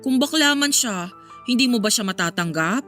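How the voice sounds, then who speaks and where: An actress playing a concerned, level-headed confidante in a Filipino radio drama. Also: female voice, on the high side, animated, moderate pace, a bit bright. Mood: gently reasoning. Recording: music under the dialogue.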